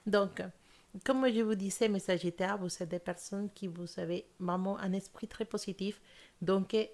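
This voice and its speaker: A woman's voice: medium pitch, steady tone, moderate pace, a little mellow. A young woman whose first language is not French, giving a monthly tarot reading in an earnest, hesitant way with many fillers and restarts. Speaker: tarot reader.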